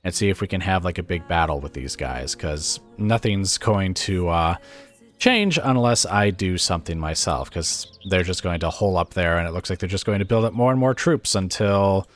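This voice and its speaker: Gameplay commentator, man, very low voice, raspy from illness, hesitant and musing, faint game music underneath. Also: lively intonation, normal speed. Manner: musing, hesitant, thinks aloud with fillers.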